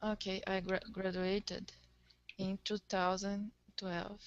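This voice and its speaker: A woman who is learning English as a second language, answering haltingly and carefully in a lesson, correcting herself as she goes. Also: mid-range voice, little pitch movement, muffled recording. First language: not English. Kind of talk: hesitant answers in an online lesson.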